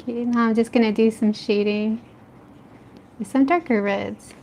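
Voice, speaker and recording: A woman's voice: fairly high pitch, steady tone, normal speed, dark tone, slight background noise. A woman from the East Coast of the United States with an American accent, chatting casually with viewers while she draws late at night at home and often laughing between remarks. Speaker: art streamer. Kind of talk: casual chat while drawing, answering live chat.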